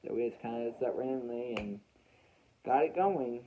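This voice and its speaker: Halting young American man, muffled lo-fi recording. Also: mid-range voice, a little hiss or hum. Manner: halting, repeats and rephrases phrases. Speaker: weather radio hobbyist vlogger. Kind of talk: casual unscripted storytelling to viewers. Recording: dull, muffled lo-fi home recording.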